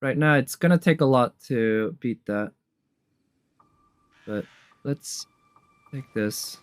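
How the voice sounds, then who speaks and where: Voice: medium pitch, slow pace, clear, resonant tone. A casual reviewer giving reaction commentary on game trailers, conversational and musing, as if thinking aloud. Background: game trailer music.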